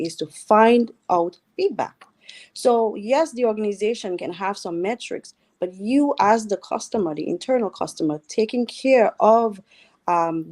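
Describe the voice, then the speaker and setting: A woman's voice: medium pitch, a little mellow. A woman with a Dominican accent, a healthcare worker, speaking earnestly as a guest on a radio talk show and checking in with "right?". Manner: earnest, explains with repeated "right?".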